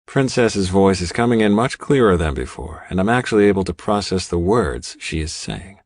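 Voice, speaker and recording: Male audiobook narrator, low-pitched, dramatic first-person storytelling, dry close studio sound. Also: lively intonation, moderate pace, dry recording.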